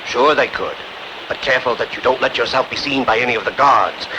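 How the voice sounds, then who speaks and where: A man's voice: mid-range voice, a little fast, close to an everyday voice. A man playing a wary, plain-spoken adviser in a dubbed epic film, blunt in manner, on a very noisy soundtrack. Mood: wary, helpful.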